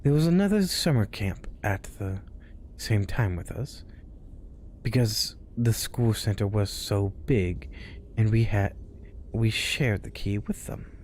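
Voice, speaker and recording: A man hosting a spooky story show, reading tales aloud in a hushed voice that often drops to a whisper. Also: fairly low pitch, slow, a little hiss or hum. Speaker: storyteller host.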